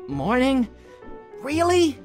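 A cheeky, breezy cartoon mug with a night-owl streak, played by a woman. The pitch swings around a great deal in this teasing comic-dub voice, with music behind it. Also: wildly swooping pitch, takes it slowly, a little mellow, full character voice.

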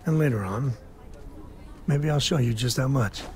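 An actor playing a rugged, bashful space cowboy and affectionate father in intimate video game dialogue, speaking haltingly and sincerely. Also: mid-range voice, a little mellow, slight background noise, lightly acted. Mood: tender, loving. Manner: halting, earnest, sheepish. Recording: music behind the voice.

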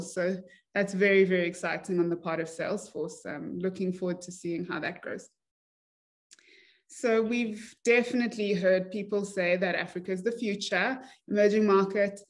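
A young woman hosting a podcast interview over a video call, friendly and enthusiastic as she asks her questions. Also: mid-range voice. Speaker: podcast host.

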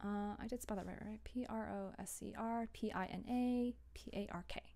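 A woman's voice: medium pitch, fairly even intonation, normal speed, mellow. A young woman chatting casually on a work livestream, giggly and chatty, sometimes murmuring to herself as she works. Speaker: audio drama creator, live streamer. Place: small room at home, indoors.

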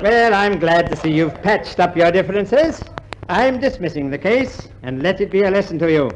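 A pompous but easily flattered judge in an old radio comedy. His voice sits high and he chuckles between magisterial pronouncements. Faint noise runs through the vintage recording. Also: moderate pace. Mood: genial, lecturing. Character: genial, flatterable courtroom judge, firm but easily tickled. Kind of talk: 1950s radio comedy acting. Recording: noisy 1950s radio recording.

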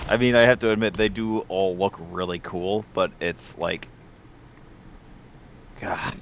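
A man giving casual, joking commentary while playing a co-op video game, excitable in manner. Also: deep voice, unhurried, creaky, a little hiss or hum. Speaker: co-op gamer. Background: game music.

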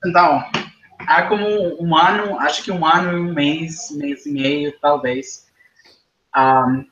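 A man's voice: fairly high pitch, steady tone, a little slow, a bit bright, highs cut off. A young man from Costa Rica, a native Spanish speaker with a Costa Rican accent, answering interview questions in learner Portuguese, hesitant and repeating words, often adding "sabe?". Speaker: guest. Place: small indoor living room. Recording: echoey room.

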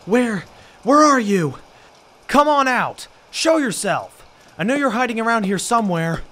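A man's voice: very high-pitched, animated, measured pace, bright, forceful tone, a little hiss or hum, pitched above the performer's own voice, exaggerated voice. A man voicing an anxious, lonely teenage schoolboy in a dramatic horror manga reading. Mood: frightened, demanding.

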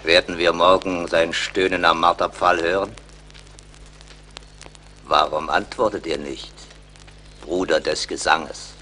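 A man's voice: sits low, steady tone, takes it slowly, long pauses, sharp tone, a little hiss or hum, heavily acted. A man playing a menacing, taunting Huron war leader in a German radio play.

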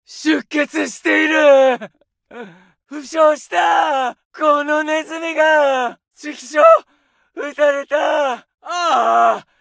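An actor playing a young male Japanese infantry soldier, barking orders and battle shouts as game callouts. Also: very high-pitched, fairly even intonation, very slow, creaky, no echo, studio quality, full character voice. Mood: wounded anger. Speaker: soldier voice lines.

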